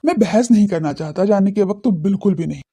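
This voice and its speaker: A woman's voice: mid-range voice, quick pace, dark tone, slightly rough, voice pushed down. A woman voicing serious, brooding young men in a romance audio drama, with stern, restrained delivery. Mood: irritated. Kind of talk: audio drama character dialogue.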